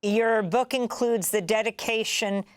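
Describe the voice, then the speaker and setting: A woman's voice: fairly high pitch, steady tone, unhurried, smooth, no room sound. A woman hosting a news program, asking questions in a composed, precise way. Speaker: news show host, interviewer. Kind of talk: broadcast interview questions.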